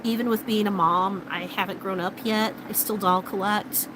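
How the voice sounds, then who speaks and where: A woman's voice: medium pitch, steady tone, normal speed, noisy background. A woman in her late thirties chatting casually and rambling with viewers, many fillers, her voice starting to wear out. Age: 30s. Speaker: vlogger. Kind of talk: casual chat with live viewers.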